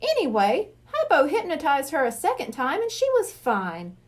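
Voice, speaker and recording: A woman's voice: high-pitched, wildly swooping pitch, normal speed, pitched above the performer's own voice. A woman reading a storybook as a stubborn, carefree young boy with an offhand, defensive way of talking.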